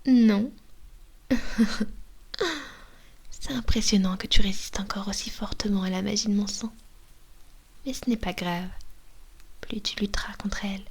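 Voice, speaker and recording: A woman's voice: mid-range voice, fairly even intonation, a little slow, long pauses, husky. A woman voicing a possessive, domineering female vampire mistress in an ASMR roleplay, mostly whispering, coaxing and commanding into a binaural microphone.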